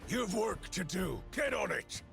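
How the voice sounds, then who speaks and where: Gravelly, menacing pirate captain. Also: male voice, sits high, expressive, unhurried, faint music behind, exaggerated voice. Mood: barking orders. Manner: shouting, commanding. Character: menacing, tyrannical pirate captain barking orders at his crew. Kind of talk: video game voice acting.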